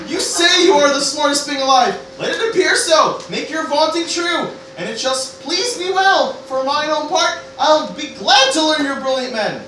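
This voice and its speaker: A woman's voice: high-pitched, animated, normal speed, hardly any pauses, a bit bright. A woman playing an anxious teenage grandson who declaims stammering Shakespearean lines in a comic stage parody. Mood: defiant, scornful. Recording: very echoey room, distant mic, noisy.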